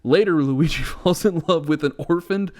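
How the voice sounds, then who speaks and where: A man's voice: a little high, normal speed, darkish tone. A man co-hosting a podcast in casual comedic banter, with a sarcastic and amused manner. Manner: sarcastic, amused, chuckling through sentences. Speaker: podcast co-host. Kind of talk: casual comedic banter, reading out a plot synopsis with asides.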